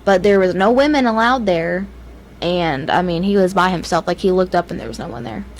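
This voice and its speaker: A woman's voice: lowish voice, fairly quick, gravelly, slight background noise. A young woman with a Southern US accent telling ghost stories casually as a podcast co-host, informal and hesitant. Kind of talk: casual ghost-story chat.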